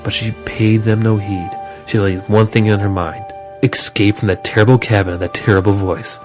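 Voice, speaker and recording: A man with a low voice narrates a scripted horror story. He tells it dramatically, as the narrator of an audio drama. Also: level tone, brisk, music in the background.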